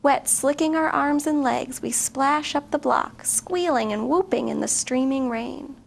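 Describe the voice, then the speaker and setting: A woman's voice: sits high, a little slow, gravelly. A woman reading a picture book aloud expressively to children. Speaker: guest story reader. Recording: faint background noise.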